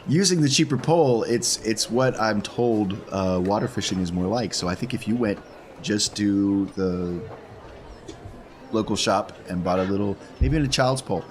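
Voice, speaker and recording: A man with a slightly low voice talks as a player at a tabletop game. He casually narrates his character's side of things, over a little background noise. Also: normal speed.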